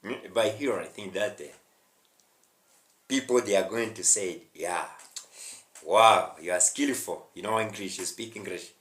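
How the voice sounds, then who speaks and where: A man's voice: sits low, measured pace, long pauses, a bit bright, echoey room. A young Rwandan man with a Rwandan accent teaching a spoken English lesson, emphatic and often checking in with his listeners. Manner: emphatic, checks in with "huh?" and "hmm?".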